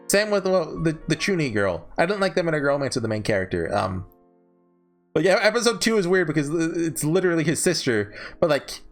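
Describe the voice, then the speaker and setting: A man's voice: fairly high pitch, fairly bright tone. A man giving casual, rambling commentary as a video host, informal and hesitant, often correcting himself. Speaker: video host reviewing visual novels.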